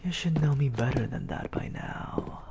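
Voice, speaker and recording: A performer acting a suave, haughty demon lord in an ASMR roleplay, speaking theatrically and often dropping into a breathy whisper, close to the mic. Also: medium pitch, moderate pace. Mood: disdainful, wry.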